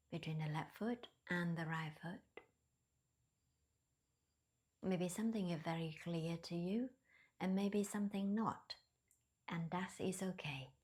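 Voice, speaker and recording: A woman leading a guided meditation in a soft, breathy near-whisper, with gentle, hesitant non-native phrasing. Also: lowish voice. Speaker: meditation teacher.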